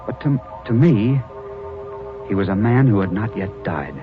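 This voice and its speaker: An actor playing a newspaper reporter narrating an old radio drama, earnest and slightly halting. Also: male voice, fairly low pitch, lively intonation. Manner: earnest storyteller, hesitant repeats. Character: newspaper reporter narrating his story, adult man. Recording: dull old radio recording with music.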